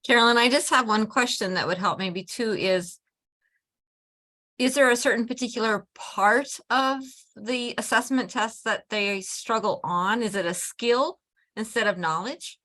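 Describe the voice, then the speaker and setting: A woman who teaches adult English learners, offering practical classroom advice in a relaxed discussion with other teachers. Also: on the high side. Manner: measured, practical advice with fillers. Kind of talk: casual discussion among teachers.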